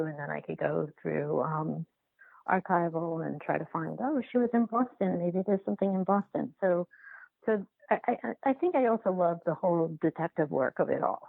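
A woman being interviewed as a documentary filmmaker, talking casually and haltingly, repeating and rephrasing as she thinks aloud, over a remote call. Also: low-pitched, vocal fry, breathy edge, no echo. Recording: phone-like narrow-band line.

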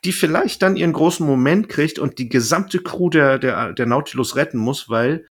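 A man's voice: on the high side, moderate pace, slightly rough. A man chatting casually as a podcast host, thinking aloud and often rephrasing mid-sentence.

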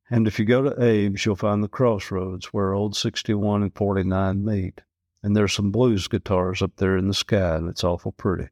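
A man's voice: lowish voice, a little mellow, no room sound, studio quality. A man reading a literary travel story aloud as scripted narration.

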